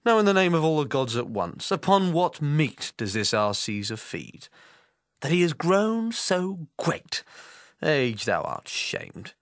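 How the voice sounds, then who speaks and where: A bitter Roman schemer, played by a man in a Shakespearean tragedy. He declaims slowly and persuasively, with wide swings of pitch, in classical stage manner. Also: very animated, no echo, clean studio sound. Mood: scornful, persuasive.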